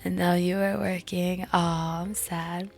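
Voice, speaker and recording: Young woman, hoarse, gravelly, breathy, giggly casual chat, faint background music. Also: on the low side, flat delivery, takes it slowly. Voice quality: raspy, breathy. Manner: giggly, drops into whispers. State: hoarse, lost voice. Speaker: streamer.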